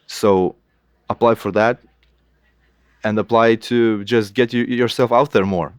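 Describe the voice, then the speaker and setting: A young man with a Lithuanian accent, a native Lithuanian speaker, answering interview questions in halting English and often restarting as he searches for words. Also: on the low side, fairly even intonation, clean tone, no room sound, clean studio sound. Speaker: guest artist.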